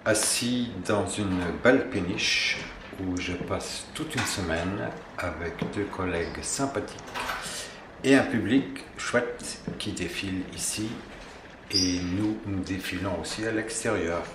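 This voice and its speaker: Deep, slow Luxembourgish man. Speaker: writer in residence. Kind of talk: casual self-introduction. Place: on a river barge. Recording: echoey room with faint ambient noise.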